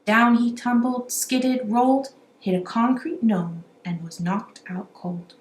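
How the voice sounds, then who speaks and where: A woman's voice: on the high side, takes it slowly. Woman reading a rhyming storybook, roomy echo. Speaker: amateur children's book reader. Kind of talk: animated rhyming storybook read-aloud. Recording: roomy echo, faint background noise.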